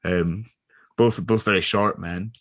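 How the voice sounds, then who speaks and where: A man with a fairly low voice, recorded dry and close. He chats casually in a halting way, joking and often poking fun at himself. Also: steady tone, fairly bright tone, no echo. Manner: jokey, self-deprecating, halting. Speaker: actor being interviewed. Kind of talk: casual chat.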